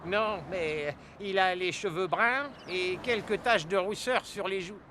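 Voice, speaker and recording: A man's voice: high-pitched, a little slow, bright, forceful tone, a little hiss or hum. A man voicing a worried, conscientious driver with an earnest manner in a French-dubbed children's cartoon. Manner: anxious, earnest.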